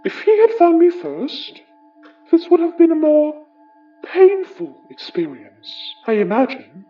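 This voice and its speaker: An actor playing the summoned remnant of an English gentleman, wry, wistful and formal, with a British accent, in an audio horror drama. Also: high-pitched, huge pitch swings, far from the mic, muffled recording, faint music behind, full character voice. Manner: measured, formal, musing, ornate phrasing.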